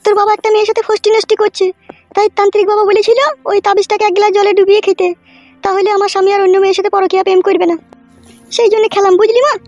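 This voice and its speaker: A woman's voice: very high-pitched, darkish tone, dry recording, highs cut off. A woman voicing scheming, anxious village women in a Bengali comedy cartoon, with colloquial, insistent rural speech. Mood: confiding. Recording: music behind the voice.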